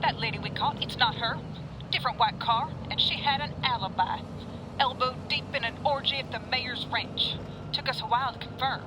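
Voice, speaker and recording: A blunt lawwoman in a comic audio drama. Her voice is high and urgent, coming over a two-way radio with clear road noise. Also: moderate pace. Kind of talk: tongue-in-cheek audio drama acting. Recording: radio call amid clear vehicle noise.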